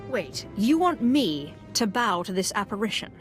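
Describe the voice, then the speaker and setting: A woman's voice: mid-range voice, very animated, normal speed, faint music behind, natural voice. A woman playing a young, determined Sith apprentice in a video game, with a formal, self-assured manner. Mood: cool, confident. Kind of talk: scripted video game voice acting.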